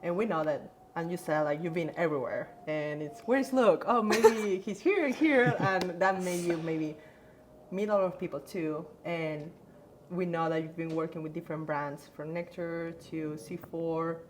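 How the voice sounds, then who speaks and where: A woman's voice: on the low side, moderate pace, a little hiss or hum. A woman co-hosting a podcast, asking questions in a casual, giggly and encouraging way with loose phrasing. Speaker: podcast co-host. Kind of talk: casual interview chat.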